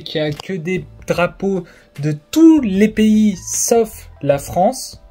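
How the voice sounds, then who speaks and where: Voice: medium pitch, a little mellow. A young adult talking casually to camera, giving an insistent opinion in colloquial speech full of hesitations and fillers. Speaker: political vlogger. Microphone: phone or camera facing the speaker.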